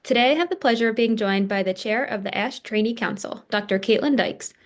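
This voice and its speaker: A woman's voice: a little high, fairly quick. A woman hosting an interview show, reading polished, upbeat introductions. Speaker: podcast host. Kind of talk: scripted interview host.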